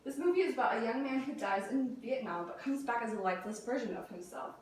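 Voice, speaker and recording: Young female student speaker, higher-pitched, presenting a rehearsed persuasive talk in a very reverberant room. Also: lively intonation, quick pace. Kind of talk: prepared persuasive classroom speech. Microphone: distant camera or room mic. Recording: very echoey room, distant mic, faint noise.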